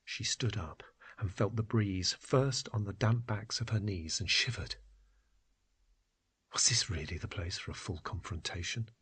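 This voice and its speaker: A man reading a literary story in an expressive, measured, dramatic style. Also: fairly low pitch, slightly rough. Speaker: narrator. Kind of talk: dramatic literary story narration, acting out dialogue.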